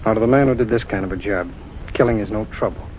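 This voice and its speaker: An actor playing a calm, methodical federal agent in a 1940s radio drama, talking in a matter-of-fact, businesslike way. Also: male voice, lowish voice, fast, background noise, lightly acted. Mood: investigating. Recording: muffled 1940s radio broadcast.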